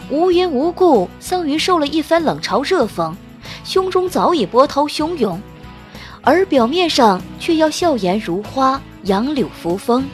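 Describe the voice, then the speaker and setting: A woman narrating a romance audiobook with smooth, expressive storytelling. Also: sits high, a little slow, faint music behind. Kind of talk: scripted narration of a romance novel.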